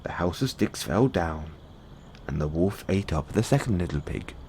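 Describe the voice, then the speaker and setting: Male storyteller: low voice, expressive swings in pitch, measured bedtime fairy tale reading, noisy background.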